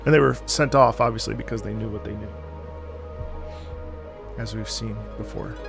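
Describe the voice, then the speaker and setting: A man streaming casual game commentary, low on energy and sick with a mild cold. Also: fairly even intonation, moderate pace, creaky. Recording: game music behind. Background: game music.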